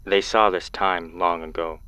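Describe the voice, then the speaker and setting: A man playing a solemn, mysterious caller in an audio drama, speaking in a measured, cryptic and stern way. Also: low-pitched, steady tone, sharp tone, clear, resonant tone, dry recording. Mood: stern, ominous.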